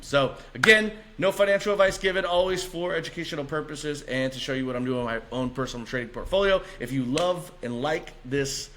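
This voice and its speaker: A man's voice: medium pitch, a bit bright, a little hiss or hum. A man presenting informal market chart commentary as a channel host, upbeat and emphatic, repeating key words for stress. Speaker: host of a stock trading analysis channel.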